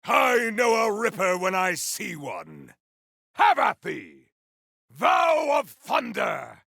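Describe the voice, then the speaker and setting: A man voicing a righteous, grandiose game warrior on a holy quest, with a gravelly, forceful voice and archaic, theatrical declamation. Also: high-pitched, huge pitch swings, takes it slowly, dry recording, clean studio sound, exaggerated voice. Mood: battle fury. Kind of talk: video game combat voice lines.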